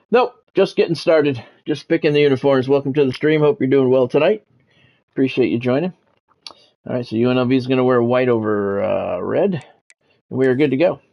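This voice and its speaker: A man's voice: mid-range voice, creaky, dull audio. A man streaming a sports video game, mixing casual chat to viewers with play-by-play commentary and repeating himself as he goes.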